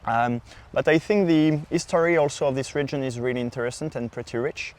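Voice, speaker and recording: A man from France with a French accent, a non-native speaker, explaining wine informally as a podcast sommelier with hesitant, halting phrasing. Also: medium pitch, a little mellow.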